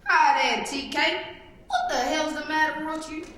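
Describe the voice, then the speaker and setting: A teenage girl playing a brisk, folksy Southern country doctor in a dramatic stage reading. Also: sits high, fairly bright tone. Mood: hearty. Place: public reading in a hall. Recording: very echoey hall, faint noise.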